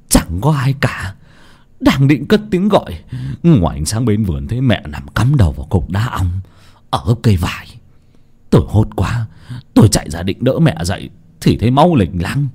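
A man's voice: on the low side, sing-song, moderate pace, warm tone, husky. A man voicing a distraught, fearful middle-aged village man, wailing and pleading in a dramatised horror story. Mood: tearful, evasive.

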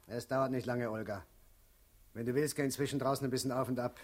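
A party hitman in charge played by an actor in a radio play. His mid-pitched voice is curt and matter-of-fact. He treats grim work as cold routine. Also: fairly even intonation, fairly quick, a little mellow, lightly acted. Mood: cold, businesslike. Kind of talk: German radio drama acting.

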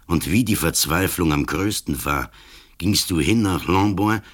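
An actor playing an old, ailing police commissioner in a radio play, grave, accusing and relentless. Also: male voice, sits low, expressive, a little slow. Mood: cold, implacable. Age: older.

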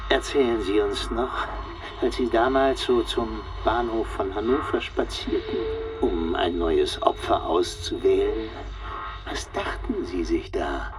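An actor playing a showy, excitable 1920s radio announcer, theatrical and formal, in a macabre radio drama. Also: male voice, mid-range voice, measured pace, lightly acted. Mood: unctuous, probing. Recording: distant, noisy old radio broadcast sound.

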